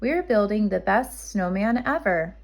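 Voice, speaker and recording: A woman's voice: medium pitch, lively intonation, a little slow, gravelly. A woman plays a little storybook bear cub, male and very young. He is eager and a bit lonely, with simple, earnest speech. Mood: excited, friendly.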